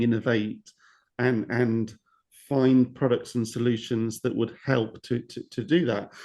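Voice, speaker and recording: A man's voice: medium pitch, darkish tone. A male podcast host asks long, winding questions. He circles back on himself and often repeats words as he works toward his point. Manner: rambling, repeats words, many restarts. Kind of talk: podcast interview.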